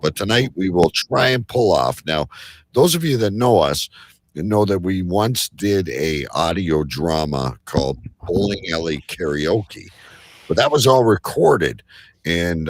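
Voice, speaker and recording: A man hosting a live online show in casual chat, joking and teasing with a halting delivery. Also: low-pitched, no room sound.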